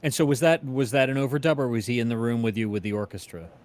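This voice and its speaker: A man's voice: medium pitch, steady tone, fast talker, darkish tone. A man interviewing in a casual chat, rambling a little and searching for his words.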